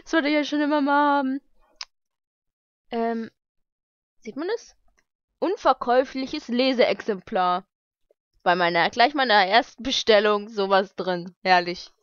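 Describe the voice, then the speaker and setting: Casting: young woman, slightly high voice, informal vlogger thinking aloud, dry lo-fi home recording. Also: unhurried, long pauses, clear, resonant tone, dry recording. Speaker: vlogger unboxing mail-order books. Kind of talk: casual unboxing commentary. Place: lived-in room at home, indoors.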